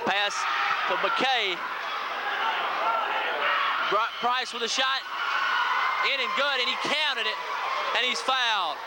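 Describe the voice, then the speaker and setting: High-pitched man calling basketball on radio. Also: takes it slowly, long pauses. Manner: clipped short phrases, excited on big plays. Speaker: radio play-by-play announcer. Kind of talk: live basketball play-by-play commentary. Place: high school gym. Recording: noisy 1980s local FM radio audio from VHS. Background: loud crowd, bouncing ball, gym noise.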